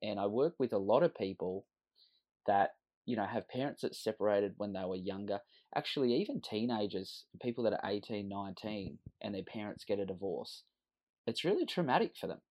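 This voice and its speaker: A man's voice: lowish voice, animated, fairly quick, darkish tone. A man in his thirties with an Australian accent, a podcast guest telling his life story in an earnest, reflective way. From Western Sydney, Australia. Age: 30s. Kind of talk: candid personal storytelling.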